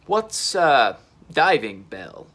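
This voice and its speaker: A man's voice: medium pitch, sing-song, very slow, fairly bright tone, heavily acted. A man voicing an eager, plucky male dinosaur captain in a children's story, upbeat and emphatic. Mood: keen.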